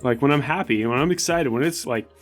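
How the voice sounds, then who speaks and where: A man's voice: mid-range voice, quick pace, faint music behind, lightly acted. A man voicing a nervous but generous hoarder in a tabletop game, muttering and stammering hesitantly. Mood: uneasy. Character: nervous, generous hoarder anxiously rationing his sodas.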